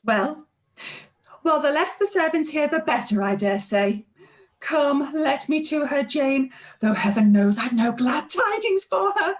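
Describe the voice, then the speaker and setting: Gossipy middle-aged aunt for period radio drama: high-pitched, scandalised, savouring every morsel. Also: female voice, lively intonation, unhurried. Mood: bustling. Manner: dramatic, scandalised, relishing each word. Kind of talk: live-read period radio play.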